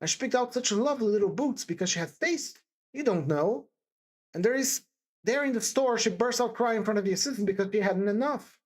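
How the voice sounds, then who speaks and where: A woman narrator performs a timid young woman in deep distress from a classic storybook. Her voice rises high and swings widely as she pleads with fervent compassion. She stammers and repeats herself emphatically. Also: animated, a little mellow. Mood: desperate, pleading. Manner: halting, stammering, breathless repetitions and emphatic exclamations. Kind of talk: dramatised audiobook character dialogue.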